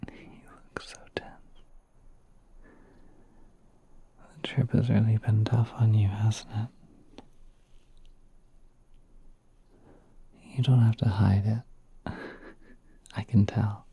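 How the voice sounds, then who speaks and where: A man voicing a gentle, courteous spider prince in a soft, breathy, reassuring whisper for an ASMR roleplay. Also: on the low side, flat delivery, muffled recording, heavily acted. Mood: soothing, attentive. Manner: soft, unhurried, reassuring, hesitant pauses.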